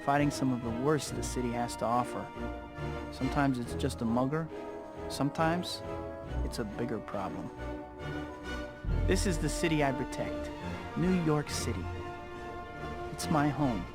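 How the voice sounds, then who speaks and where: A man's voice: medium pitch, measured pace, long pauses, lightly acted. Man playing an earnest young American hero over music. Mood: wry, reflective. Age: young adult. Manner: earnest, wry. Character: earnest, wry young male superhero student. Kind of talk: cinematic voice-over narration and dialogue. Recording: loud music underneath.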